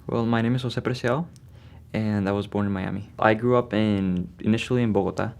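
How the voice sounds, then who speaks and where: A young man from Venezuela speaks in a documentary interview, his voice deep and gravelly. He keeps it easygoing and conversational, with little rise and fall. Faint music plays beneath him. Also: steady tone, fairly quick, a little mellow, slight background noise. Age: young adult. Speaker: student.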